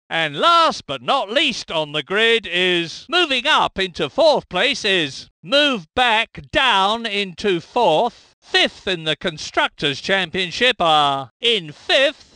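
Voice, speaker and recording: Excitable older British race commentator. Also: male voice, high-pitched, no room sound. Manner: excitable, emphatic, rising to bursts of alarm. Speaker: motor racing commentator. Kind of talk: recorded game commentary clips. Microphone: handheld lip mic.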